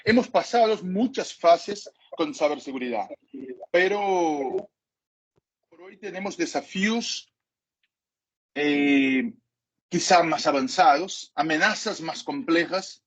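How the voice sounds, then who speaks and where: Husky man lecturing in halting Spanish. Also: a little high, animated. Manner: hesitant, apologizes for his Spanish. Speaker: cybersecurity lecturer. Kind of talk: masterclass lecture. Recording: dull lo-fi recording.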